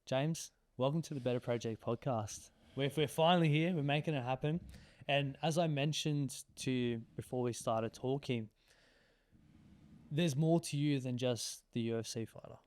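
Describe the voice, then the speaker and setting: A man's voice: mid-range voice, normal speed, darkish tone. A man hosting a podcast, asking questions in a friendly, conversational way. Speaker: podcast host. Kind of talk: casual interview.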